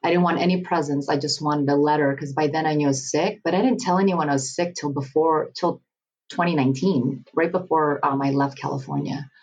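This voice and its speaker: A woman's voice: sits low, fairly even intonation, brisk, a little mellow, dull audio. A woman in her forties talking candidly in a casual interview, laughing often. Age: 40s. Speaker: guest. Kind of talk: casual conversation.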